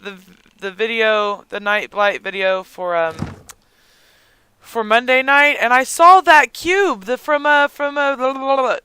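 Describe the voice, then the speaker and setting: A gaming host with a hoarse, raspy, squeaky voice, chatting casually over gameplay with a lot of giggling and rambling ums. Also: slow pace, bright, forceful tone, dry recording. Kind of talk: casual let's-play commentary.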